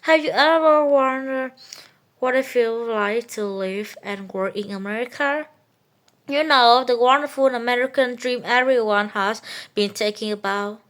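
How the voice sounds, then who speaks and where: A woman's voice: a little high, unhurried, fairly bright tone. A woman hosting a podcast, reading a friendly, upbeat scripted introduction. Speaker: podcast host.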